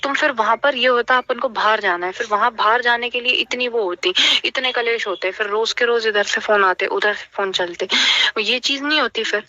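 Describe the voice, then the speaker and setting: A woman's voice: on the high side, normal speed, bright, forceful tone, no room sound. A young adult woman reasoning things out earnestly and a little hesitantly in a casual personal phone conversation. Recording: dull, lo-fi recorded phone call.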